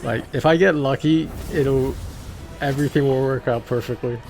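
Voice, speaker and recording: A man chatting casually on a game livestream, halting and repeating words as he goes. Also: medium pitch, steady tone, gravelly, a little mellow, poor audio. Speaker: game streamer. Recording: music behind the voice.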